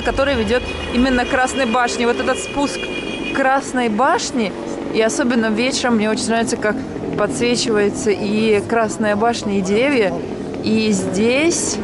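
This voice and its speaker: Woman guiding a night street walk. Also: on the high side. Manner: relaxed guide-like commentary, speaking to viewers as friends. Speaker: vlog host. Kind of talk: walking tour narration. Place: outdoors on a city street at night. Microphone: handheld action camera. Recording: street noise behind.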